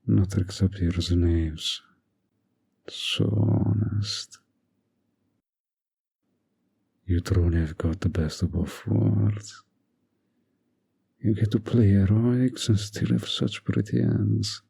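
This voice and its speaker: Casting: seductive fantasy villain played by a man, deep and gravelly, manipulative, teasing a hero in romantic audio roleplay, often near a whisper. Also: lively intonation, dark tone, heavily acted. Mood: smooth, teasing. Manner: slow, teasing, seductive, insinuating.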